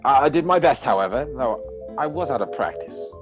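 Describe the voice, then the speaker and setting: A man playing a flippant, easygoing young gentleman with a breezy manner in a stage comedy reading. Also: medium pitch, expressive, brisk, over music, lightly acted. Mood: amused apology. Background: musical score.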